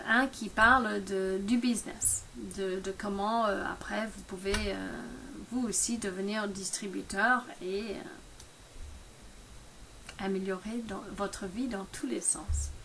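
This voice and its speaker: A woman in her fifties talking casually to camera about her own experience, halting often with fillers and restarts. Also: mid-range voice, fairly bright tone, a little hiss or hum. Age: 50s. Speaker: product distributor.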